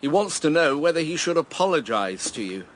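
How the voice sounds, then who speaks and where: Concerned man, very expressive pitch. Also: brisk, slight background noise. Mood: probing. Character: indignant, concerned male onlooker, morally outraged and probing. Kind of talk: radio drama dialogue.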